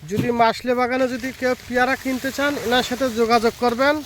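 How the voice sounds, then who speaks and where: High-pitched man presenting an outdoor vlog. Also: little pitch movement, quick pace, a bit bright. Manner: repetitive, addressing viewers directly. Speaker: vlogger, host. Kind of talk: informal vlog presenting to viewers. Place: outdoors in a guava orchard. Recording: outdoor recording with faint background noise.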